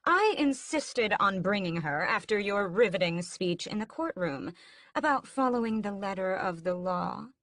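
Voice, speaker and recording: A woman's voice: mid-range voice, expressive, moderate pace, a bit bright, dry recording, voice pushed up. Brief: a woman doing a centuries-old vampire queen, haughty and scheming, cool drawl, close dry read. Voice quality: cool, regal, mocking drawl. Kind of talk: audiobook character voice.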